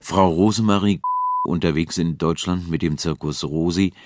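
A deep-voiced man reads formal radio announcements. The archive recording is clearly noisy, with clicks. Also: normal speed.

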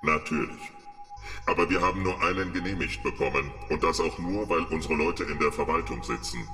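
A man's voice: extremely low pitch, fairly quick, pushed and bright, faint music behind. A man playing an obedient, cautious henchman who reports deferentially to his boss in a German children's radio drama. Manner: deferential, businesslike.

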